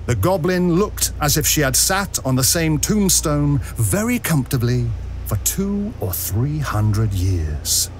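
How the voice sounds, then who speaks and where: A man's voice: on the high side, very animated, measured pace, a little hiss or hum. A man narrating a ghostly tale for an audio drama in a theatrical storytelling manner.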